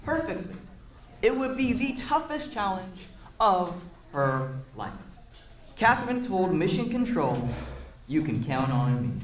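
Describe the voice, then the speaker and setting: A man's voice: sits high, big pitch swings, a little slow, a little hiss or hum. Man reading aloud to children in echoey room. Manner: encouraging, chatty asides explaining words to children. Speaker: read-aloud storyteller for children. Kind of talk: animated picture-book reading with questions to a young audience. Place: roomy indoor space with a group of children.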